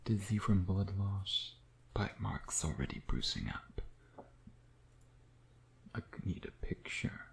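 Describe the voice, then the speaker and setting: A man playing a smug, flirtatious vampire who dotes on his prey in an intimate ASMR roleplay, teasing and murmuring with breathy asides. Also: fairly low pitch, a little mellow, slight background noise, heavily acted.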